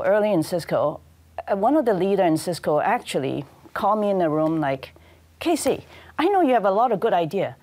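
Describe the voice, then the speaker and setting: A woman's voice: medium pitch, a little mellow. A woman in her fifties from Hong Kong, with a Hong Kong accent, speaking thoughtfully as a panelist in a studio on a lapel mic. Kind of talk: panel discussion.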